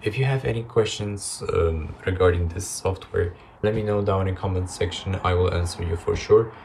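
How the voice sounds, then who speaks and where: A young man with a low voice presents a how-to vlog to camera. He explains a piece of software as its host. There is faint noise in the recording from a small room at home. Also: moderate pace, creaky. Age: young adult. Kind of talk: upbeat scripted tutorial vlog to camera.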